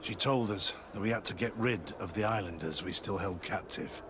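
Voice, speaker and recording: A man's voice: low voice, normal speed, background noise, close to an everyday voice. A man playing a guilt-ridden, secretive army sergeant turned informant in a video game, guarded, earnest and confiding. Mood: grave. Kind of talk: video game cutscene acting.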